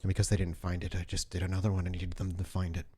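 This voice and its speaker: A man playing an obsessed, halting young woman killer, low-pitched. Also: monotone, mellow, slightly rough. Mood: evasive, confessional. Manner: halting, repeats words, insistent. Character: obsessed young female copycat killer, defiant, evasive. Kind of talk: improvised tabletop role-play dialogue.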